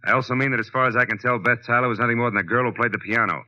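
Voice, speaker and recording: An actor playing a tough, wisecracking American private detective in 1940s radio style, clipped and wry. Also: male voice, medium pitch, very fast, close to an everyday voice. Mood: commanding. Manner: clipped, wry, hard-boiled. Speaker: lead actor and narrator. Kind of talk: 1940s radio detective acting, hard-boiled first-person narration and dialogue. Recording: muffled vintage radio recording.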